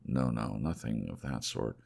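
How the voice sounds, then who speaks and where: A man playing a weary, reflective man recounting his memories in an audiobook, halting and musing. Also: rumbling low, vocal fry, a little mellow, voice pushed down, exaggerated voice. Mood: wistful musing. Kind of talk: audiobook character voice.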